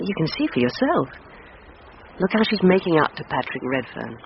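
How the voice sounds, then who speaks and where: An actress in a radio drama playing a frank, forthright woman with a blunt, wry manner. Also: deep voice. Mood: scornful, candid. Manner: blunt, wry, mildly swearing. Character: frank, forthright woman gossiping about an old friend. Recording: muffled, noisy radio drama recording.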